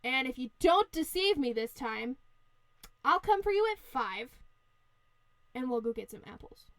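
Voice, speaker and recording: Woman playing a crude, indignant storybook wolf, high-pitched. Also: very animated, unhurried, a bit bright, dry recording, full character voice, poor audio. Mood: casual, scheming. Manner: slangy, crude, bro-like talk. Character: foul-mouthed, hungry, indignant male wolf from a fairy tale.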